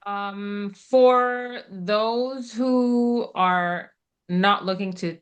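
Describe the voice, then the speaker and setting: A middle-aged woman who speaks Persian natively, a guest answering interview questions in a thoughtful, hesitant way with many pauses. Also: medium pitch, fairly even intonation, clear, resonant tone. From Middle East. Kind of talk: relaxed conversational interview.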